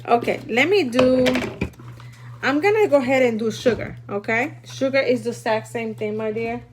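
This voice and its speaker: A woman's voice: on the high side, moderate pace. A woman from Puerto Rico with a Puerto Rican accent, a native Spanish speaker, chatting and instructing casually as host of a livestream. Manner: chatty, instructive, often tags sentences with "okay?". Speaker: livestream host teaching food storage. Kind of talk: casual livestream chat and demonstration. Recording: noisy room, live stream.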